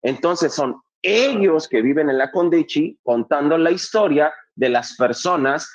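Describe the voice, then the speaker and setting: A man argues with heat and conviction, stacking rhetorical questions and blunt swearing. His voice sits fairly high for a man. The recording sounds dull and lo-fi. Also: lively intonation, measured pace. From Mexico, Coacalco in the State of Mexico. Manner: passionate, emphatic, firing rhetorical questions, swears freely. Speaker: theatre artist in a panel conversation. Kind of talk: casual festival talk.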